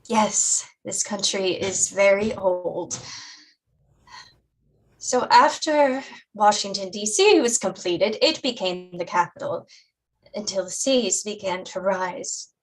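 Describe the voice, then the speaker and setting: Slow-paced, caring mother, acted by a woman. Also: mid-range voice, animated, a little hiss or hum, poor audio. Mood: patient, explaining. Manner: deliberate, instructive, reassuring. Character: patient, caring mother, gently explaining. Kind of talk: staged reading of family drama.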